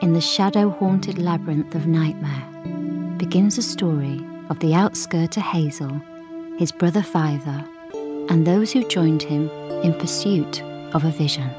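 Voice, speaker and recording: A low-voiced, lyrical storyteller. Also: female voice, over music. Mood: measured, atmospheric. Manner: measured, lyrical. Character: solemn female storyteller of an epic tale. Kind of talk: scripted radio drama narration.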